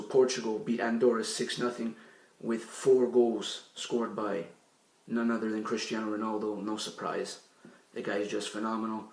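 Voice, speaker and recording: A young man hosting a casual sports recap show, hoarse from losing his voice, talking loosely with fillers and his own opinions. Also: on the low side, darkish tone, recorded from a distance. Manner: conversational, filler-heavy recap with personal opinions. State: hoarse, partly lost voice. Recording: echoey room, away from mic.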